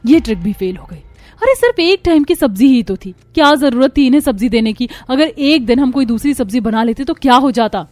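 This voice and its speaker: A woman's voice: high voice, expressive, fairly quick, a little mellow, dry recording, faint music behind. A woman voicing an exasperated, sly young daughter-in-law in a cartoon story, complaining dramatically. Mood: angry, fed up. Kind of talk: Hindi cartoon story acting.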